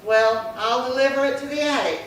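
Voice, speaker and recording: A woman's voice: high-pitched, brisk, lightly acted. A woman playing a frank, skeptical maid in a 1950s radio comedy, recorded at a distance in an echoey hall. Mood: wry warning. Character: frank, skeptical household maid, loyal and wry. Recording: echoey hall, distant mic, faint noise.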